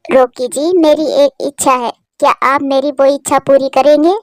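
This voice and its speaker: A woman voicing a gentle, sorrowful, self-sacrificing female bird wife in a cartoon, speaking in an earnest, emotional way. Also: sits high, steady tone, moderate pace, no room sound, clean studio sound, close to an everyday voice. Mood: earnest, pleading. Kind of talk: Hindi cartoon voice acting.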